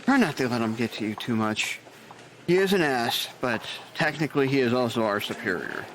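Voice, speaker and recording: A man's voice: mid-range voice, animated, fairly quick, a bit bright, a little hiss or hum, close to an everyday voice, poor audio. A man playing a laid-back, wry male nurse.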